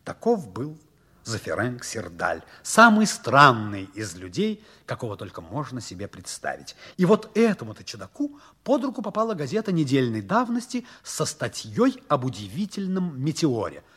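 An actor narrating a 1960s Soviet radio play as an expressive storyteller, with a husky voice and deliberate, theatrical emphasis. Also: male voice, medium pitch, exaggerated voice. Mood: expressive storytelling. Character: storyteller narrator of a whimsical science fiction tale.

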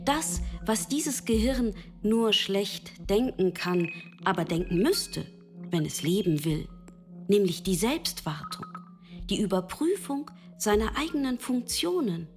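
A woman playing a lucid, patient machine in a literary radio play, lecturing the listener directly and deliberately. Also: mid-range voice, close to an everyday voice. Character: love-capable female machine, lucid, patient, lecturing philosopher.

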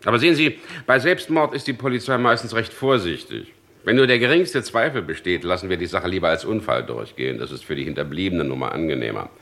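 An actor playing a stern, insistent police chief inspector in a radio crime drama, speaking formally and pointedly. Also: male voice, low-pitched, lively intonation, quick pace, fairly bright tone. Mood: stern, explaining.

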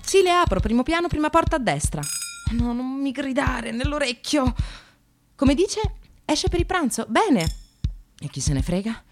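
An actress playing a resentful, frazzled receptionist in a theatrical radio monologue, volatile between clipped politeness and bitterness. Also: female voice, high voice, sing-song, normal speed, soft background music. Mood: tense, sarcastic. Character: frazzled, resentful female receptionist in precarious work, near breakdown.

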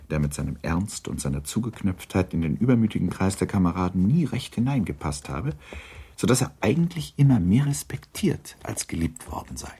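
Deep-voiced male radio-drama narrator. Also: dark tone, slightly rough.

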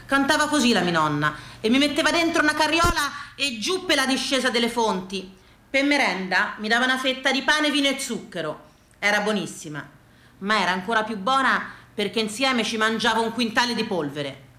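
Female stage storyteller, Tuscan accent, colloquial and expressive, fairly high forceful voice, echoing room. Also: fairly quick. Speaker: actress and storyteller. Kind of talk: theatrical autobiographical monologue.

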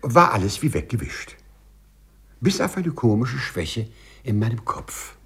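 A husky, timid, fussy clerk. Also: male voice, medium pitch, very animated, a little slow, exaggerated voice. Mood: anxious, bewildered. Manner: hesitant, apologetic, formal. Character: timid, fussy, order-loving male civil servant, embarrassed patient. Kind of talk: 1950s German radio play acting. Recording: 1950s radio drama recording.

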